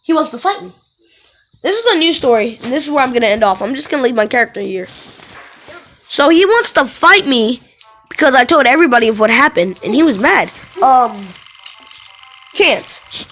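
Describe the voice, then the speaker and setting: High-voiced woman telling rambling casual stories. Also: moderate pace, pauses often. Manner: animated, digressive, self-correcting. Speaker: video creator telling stories. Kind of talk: casual rambling storytelling to viewers.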